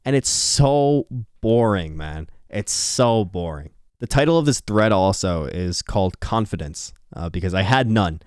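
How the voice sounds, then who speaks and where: A man's voice: deep voice, animated, a little slow, darkish tone, clear, resonant tone, dry recording, clean studio sound. A tired young man recording a casual late-night talk, rambling and candid, swearing and laughing now and then, with a slightly nasal voice. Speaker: YouTuber. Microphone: earphones on, close mic.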